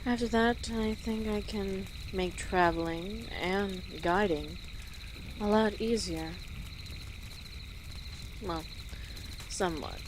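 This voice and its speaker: A woman playing an easygoing, friendly female orc travel guide in an audio roleplay, speaking gently and conversationally to the listener with pauses. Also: mid-range voice, noisy background, lightly acted. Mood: relaxed, hopeful. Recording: outdoor nature ambience with birdsong behind. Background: birds and rural outdoor ambience.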